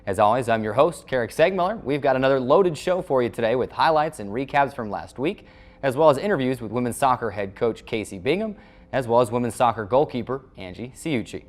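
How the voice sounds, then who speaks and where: A man's voice: medium pitch, lively intonation, fairly quick. A man hosting a sports show, reading a scripted recap in formal broadcast phrasing.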